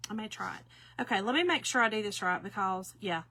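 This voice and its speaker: A woman in her early fifties walks viewers through a craft project in a loose, talkative way. Her voice has a bright, forward edge, and she keeps checking in with the audience as y'all. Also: medium pitch, animated, a little fast. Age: 50s. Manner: chatty, folksy, addresses viewers as y'all. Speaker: craft demonstrator. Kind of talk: casual craft tutorial.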